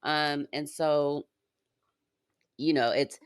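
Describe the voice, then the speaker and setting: Low-voiced woman hosting, dry sound. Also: steady tone, drawn-out words, smooth, dry recording. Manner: easygoing and reflective, laughs often. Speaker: podcast host. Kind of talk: casual chat.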